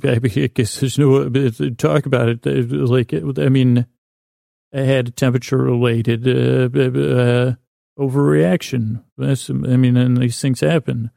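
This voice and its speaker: A man with an American accent and a creaky, gravelly voice delivers a meandering bedtime monologue as a podcast host. His tone is dark and relaxed, with very little rise and fall in pitch. He stumbles, restarts and interrupts himself as he wanders along. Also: dry recording, studio quality. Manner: meandering, halting, with stammered false starts and self-interruptions. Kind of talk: rambling bedtime monologue to help listeners sleep.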